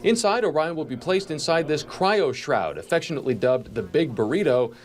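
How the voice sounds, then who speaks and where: A man's voice: a little high, animated, fairly quick. An American man narrating a scripted television news report as a reporter.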